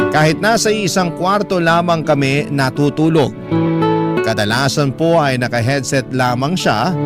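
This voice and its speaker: A man voices an earnest young letter writer in a radio drama, narrating in a polite, heartfelt way. His pitch is average, and loud plucked guitar music plays beneath him. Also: lightly acted.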